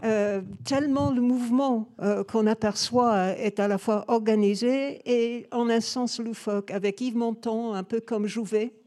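A woman's voice: a little high, steady tone, unhurried, few pauses, dark tone. A woman interviewer asking about a film, hesitant and full of fillers. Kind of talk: interview questions.

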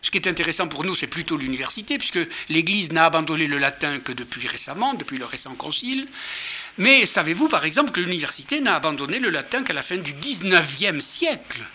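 A man's voice: on the high side, sing-song, fast talker, bright, forceful tone, a little hiss or hum. An older man giving a lecture to an audience, hesitant with many fillers and restarts, and fond of rhetorical questions. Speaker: author and lecturer.

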